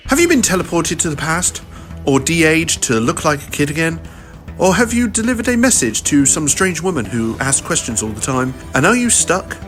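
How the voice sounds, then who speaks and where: A man's voice: fairly high pitch, sing-song, moderate pace, a bit bright, soft background music. A man reading a comic parody advert as its announcer.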